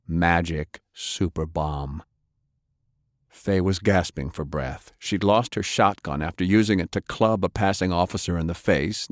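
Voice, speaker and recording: Low-voiced male audiobook narrator. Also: no echo, studio quality. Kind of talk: dramatic scripted narration of action fiction.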